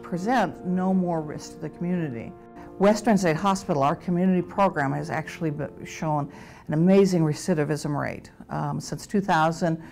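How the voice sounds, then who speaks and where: A woman with a notably low voice gives a documentary interview. She explains things articulately, with soft music playing faintly behind her. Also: creaky. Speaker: hospital staff member.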